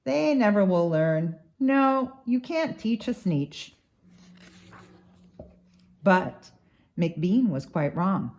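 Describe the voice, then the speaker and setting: Very animated woman reading a storybook. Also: medium pitch, extremely slow, long pauses. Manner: rhythmic, dramatic read-aloud. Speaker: doctor reading a children's book. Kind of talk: expressive storybook narration.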